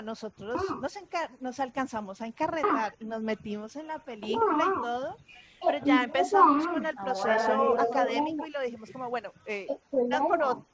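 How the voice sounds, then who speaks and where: High-voiced Colombian woman, muffled call audio. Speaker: panel participant in an arts collective discussion. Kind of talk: casual explanatory talk in an online meeting. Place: online video call.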